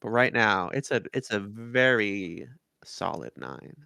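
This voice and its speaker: Gravelly, lowish-voiced male podcast co-host. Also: sing-song, slow pace, pushed and bright, no room sound, clean studio sound. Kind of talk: casual review chat.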